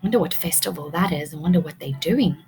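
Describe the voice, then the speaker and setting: A woman's voice: sits low, fast talker, a little mellow, a little hiss or hum. A woman teacher reading a picture book aloud to young children, expressively, prompting them with questions.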